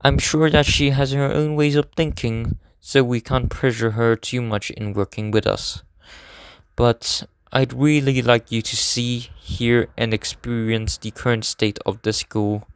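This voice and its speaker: A man acts as a serious teenage idol student in an anime fan dub. His voice is smooth and clear in a dry, close recording. He explains things earnestly, in formal, measured sentences. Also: mid-range voice, steady tone, no room sound, close to an everyday voice. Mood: hopeful, determined. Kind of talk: scripted anime game fan dub acting.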